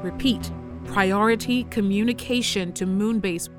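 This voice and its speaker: Woman acting, female space engineer, sci-fi audio drama, urgent, pleading, mid pitch, loud music bed. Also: unhurried, natural voice. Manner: urgent, formal radio report. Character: worried female lunar mining engineer pleading for contact.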